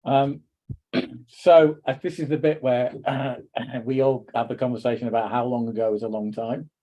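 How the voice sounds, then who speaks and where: A man's voice: medium pitch, level tone, normal speed, warm tone, highs cut off. A middle-aged man hosting a casual podcast chat, rambling and wry with self-deprecating jokes. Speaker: podcast host.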